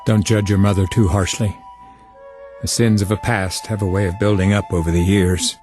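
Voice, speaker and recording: Man acting a grave, deep, even-toned counsellor. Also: normal speed, natural voice. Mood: grave, consoling. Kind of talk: audio drama acting. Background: sentimental theme music.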